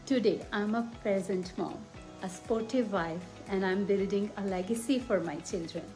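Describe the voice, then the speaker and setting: Mellow-voiced India-born woman. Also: medium pitch, soft background music, rough recording. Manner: heartfelt, measured delivery. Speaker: former daycare teacher sharing a testimonial. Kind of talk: emotional personal testimonial.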